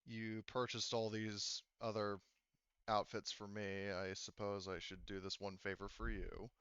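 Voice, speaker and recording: A man voicing a guarded, reluctant woman in a flat, hesitant way for a tabletop game. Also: fairly low pitch, measured pace, clean tone, dry recording, muffled recording. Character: tense, guarded, reluctant woman, dull and unsmiling.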